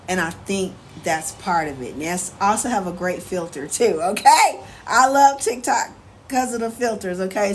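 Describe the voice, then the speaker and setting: American woman, medium pitch, casual livestream host, animated, sassy, colloquial phrasing, noticeable background noise. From United States. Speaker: live stream host. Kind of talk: casual livestream chat, answering viewer questions. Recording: clear background noise, ambient sound.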